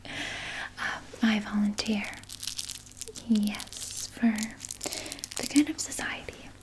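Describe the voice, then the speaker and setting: A woman's voice: medium pitch, drawn-out words, poor audio. Whispering, sweetly sinister vampire nurse played by a woman. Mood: evasive. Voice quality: soft, breathy whisper. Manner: hushed, gently coaxing, soothing. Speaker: ASMR performer playing a nurse. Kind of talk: whispered ASMR personal attention roleplay.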